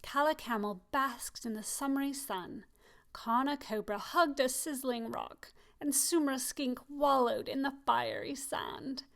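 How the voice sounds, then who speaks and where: A woman reading a children's picture book aloud, expressive and slowing down on key phrases. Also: high-pitched, vocal fry. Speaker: storybook reader. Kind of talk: children's story read-aloud.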